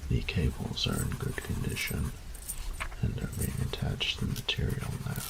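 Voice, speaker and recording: A man playing a meticulous, reclusive artificer in an audio roleplay, dictating formally and precisely in a gravelly, breathy voice. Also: normal speed, noisy background, close to an everyday voice. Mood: formal, focused. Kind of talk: scripted audio roleplay acting.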